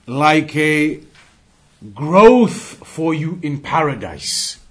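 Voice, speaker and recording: Higher-voiced man teaching a religious lesson. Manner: didactic, deliberate, emphatic repetition. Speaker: Islamic studies teacher. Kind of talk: religious lesson, explaining and translating recited quotes.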